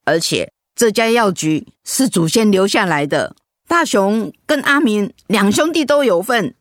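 A woman's voice: medium pitch, lively intonation, unhurried, no echo, natural voice. Woman acting a firm elderly mother-in-law, dry sound. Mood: indignant scolding. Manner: scolding, plain-spoken. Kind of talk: radio drama acting.

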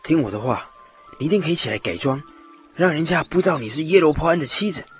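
A man's voice: fairly high pitch, expressive, fast, lightly acted. An actor playing an anxious, scheming king and father, speaking with dramatic urgency in a radio drama. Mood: urgent, instructing. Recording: music behind the voice.

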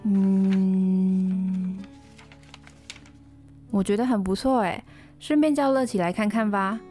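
A woman's voice: medium pitch, steady tone, slow pace, mellow, soft background music, lightly acted. A woman with a Taiwanese Mandarin accent playing a composed, helpful, know-it-all young dorm mate in a podcast drama. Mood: encouraging. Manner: matter-of-fact, reassuring, know-it-all. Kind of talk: podcast radio drama acting.

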